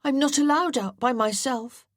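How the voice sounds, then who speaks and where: A woman's voice: high voice, normal speed, no room sound, clean studio sound, voice pushed up, natural voice. A woman voicing an earnest, well-bred young boy who speaks in a precise, formal way. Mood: anxious.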